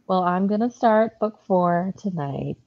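A woman's voice: fairly low pitch, expressive, a little slow, no echo. A young woman chatting casually as a guest on a video call, with slightly dull sound. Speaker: surprise guest on a book livestream. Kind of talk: casual chat. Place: indoor room at home, on a video call. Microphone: headphones on, video call.

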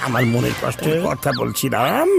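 A man's voice: mid-range voice, lively intonation, normal speed, gravelly, over music. A man voicing a hapless, self-pitying gangster boss in a cartoon dub, whining and lamenting.

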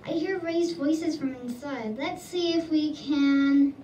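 An eager on-scene reporter , played by a woman questions people and presents the scene. The voice is high and mellow, and it rings in an echoey room with faint noise. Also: steady tone, slow, recorded from a distance. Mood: inquisitive. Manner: inquisitive, presenting. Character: eager female news reporter.

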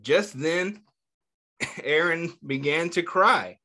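A man reading a picture book aloud to children, pausing to ask them gentle questions between passages. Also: on the high side. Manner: slow, pausing reading with small slips. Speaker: children's storyteller. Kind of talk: read-aloud storytime.

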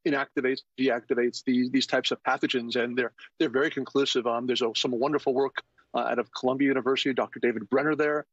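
A man's voice: mid-range voice, fairly even intonation, fast, slightly rough, no room sound. A man in his fifties, a company founder, explaining his technology in a remote news interview, hesitant with many fillers and repeated words. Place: office with plants. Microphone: video call. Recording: dull lo-fi video call audio.

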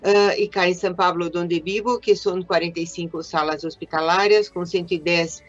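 Older Brazilian woman, Portuguese accent, halting conference talk over a webcam, muffled lo-fi audio with faint music under it. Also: fairly low pitch, fairly even intonation. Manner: hesitant, many pauses and fillers, mixes Portuguese words. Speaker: invited speaker, educator. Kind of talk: conference presentation. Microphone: webcam on video call. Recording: dull lo-fi video call audio.

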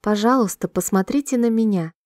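A woman reading short sentences slowly and clearly for a language-listening drill, repeating each one in a measured, even way. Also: mid-range voice, no echo, studio quality. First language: Russian. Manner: clear, measured, evenly paced repetition. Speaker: Russian sentence reader.

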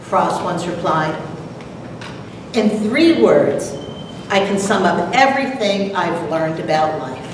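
A mature woman giving a prepared talk and dramatic poetry recital with deliberate, theatrical delivery. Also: fairly low pitch, very animated. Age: middle-aged or older. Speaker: presenter, dramatic reader. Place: lecture hall, at a podium. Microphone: far camera. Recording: echoey noisy hall from far off.

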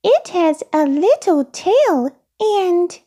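Woman voicing a high-pitched, eager little monster. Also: sing-song, drawn-out words, dark tone, full character voice. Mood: polite, hesitant. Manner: childlike, refers to self in third person. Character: small eager childlike monster, polite, speaks in third person. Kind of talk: children's storybook character voice.

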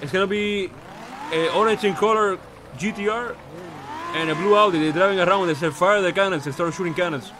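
A man's voice: high-pitched, fairly quick, noisy background. A man roleplaying a police officer, barking commands and mixing exclamations into his English. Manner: barks commands, mixes Spanish into English, repeats himself. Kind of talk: in-character roleplay.

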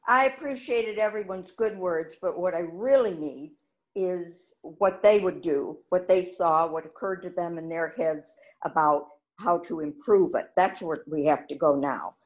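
Older woman, lower-pitched, playwright at a talkback, frank and emphatic delivery. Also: a little slow, rough recording. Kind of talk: informal critique and thanks at a play-reading talkback.

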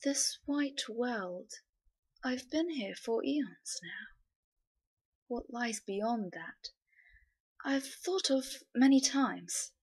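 A woman voicing an ancient, weary, trapped spirit, brooding in a hushed way. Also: fairly high pitch, lively intonation, very slow, long pauses, slightly rough, full character voice.

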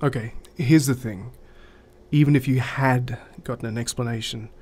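A man's voice: medium pitch, steady tone, moderate pace, a little mellow, slightly rough, natural voice. An actor playing a pragmatic male therapist in an audio drama, reflective and matter-of-fact. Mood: reasoning. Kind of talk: conversational audio drama acting.

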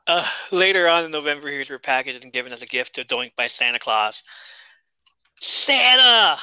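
Bright, crisp-voiced male podcast host. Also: fairly high pitch, lively intonation, moderate pace, dry recording, poor audio. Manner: chatty, reads notes, jokes and laughs. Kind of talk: casual wrestling review chat.

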